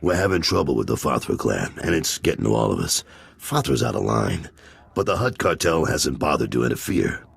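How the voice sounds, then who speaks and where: A man playing a blunt, businesslike lieutenant to a crime lord in a video game, with a gruff, husky voice. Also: a bass voice, big pitch swings, a little fast, dry recording, exaggerated voice. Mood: businesslike, weary. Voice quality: gravelly, husky. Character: gruff, wary crime lord's lieutenant and enforcer. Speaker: voice actor in a game. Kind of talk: video game cutscene acting.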